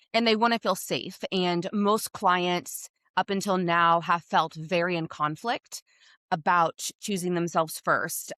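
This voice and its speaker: A woman's voice: mid-range voice, fairly bright tone, no room sound, studio quality. A woman with a slightly scratchy voice, a hormone health provider who explains things openly and with confidence in a podcast interview.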